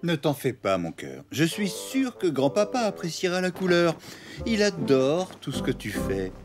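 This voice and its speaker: A man's voice: on the high side, huge pitch swings, unhurried, over music. A man playing a placid, kindly, distracted elephant father in a cartoon dub, musing and reassuring.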